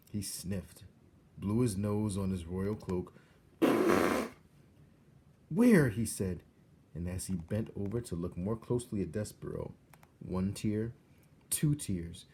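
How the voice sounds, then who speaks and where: A man reading a children's story aloud as an expressive narrator. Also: sits low, slight background noise.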